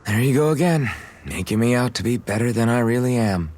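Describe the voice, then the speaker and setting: An actor playing an easygoing, modest young swordsman with a gently teasing, casual way of talking in a video game cutscene. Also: medium pitch, lively intonation, a little fast, close to an everyday voice. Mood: friendly.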